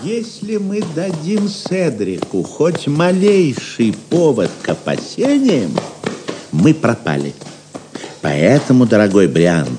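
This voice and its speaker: A man's voice: mid-range voice, huge pitch swings, slow pace, noisy background. An actor playing a worldly, cautious medieval churchman in an audio drama, talkative and fatherly. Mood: confiding caution. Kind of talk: theatrical audio drama acting.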